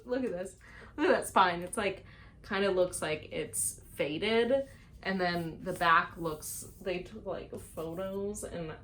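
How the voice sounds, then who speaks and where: A woman's voice: fairly low pitch, fairly bright tone, slight background noise. A young adult woman vlogging in a casual, gushing chat with offbeat dry asides. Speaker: book vlogger. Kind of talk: casual book chat to camera.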